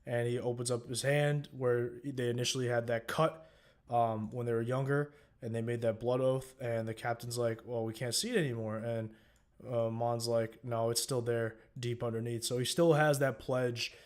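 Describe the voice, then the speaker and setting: A man's voice: medium pitch, normal speed. A young man co-hosting a casual TV recap podcast, retelling scenes with lots of fillers and restarts. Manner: animated storytelling with many fillers and restarts. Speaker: podcast co-host.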